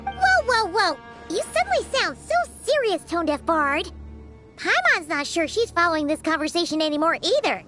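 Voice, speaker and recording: A woman voicing a tiny childlike female fairy companion in a video game, blunt and excitable, with a squeaky cartoonish delivery. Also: normal speed, faint music behind, full character voice. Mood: startled, teasing. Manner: refers to herself in third person, squeaky, blunt.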